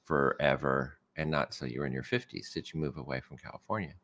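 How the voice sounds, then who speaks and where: A middle-aged man co-hosting a casual podcast, a bit hoarse and tired this morning, joking and laughing at himself. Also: extremely low pitch, steady tone, fast talker, fairly bright tone. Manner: joking, self-deprecating, lots of laughs. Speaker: podcast co-host.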